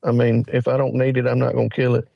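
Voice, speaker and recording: A man's voice: medium pitch, completely flat delivery, fast, vocal fry, no echo, muffled recording. A man in his forties with a Southern US accent, a guest telling rambling, folksy stories in a casual interview. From Mississippi, USA. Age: 40s. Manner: rambling, folksy, with lots of fillers. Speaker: guest hunter.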